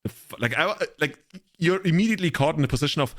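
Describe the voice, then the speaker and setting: A man's voice: fairly high pitch, animated, quick pace, a bit bright. A man co-hosting a casual podcast chat, rambling and joking, with many filler words. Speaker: podcast co-host.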